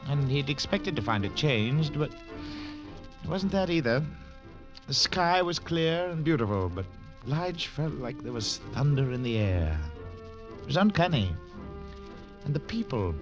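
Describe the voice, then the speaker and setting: Dramatic old-time radio narrator, man. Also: medium pitch. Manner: storytelling, dramatic swings. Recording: dull old radio recording with music.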